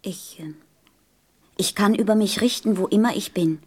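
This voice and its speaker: A woman's voice: medium pitch, normal speed, a little mellow, breathy edge, lightly acted. A woman voicing a polite, curious and earnest little boy prince in a radio play. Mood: earnest, restless.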